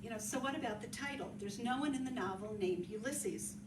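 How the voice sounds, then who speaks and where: A woman's voice: a little high, a little fast. A woman presenting at a literary reading, explaining things in the style of a talk. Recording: echoey, distant, noisy room recording.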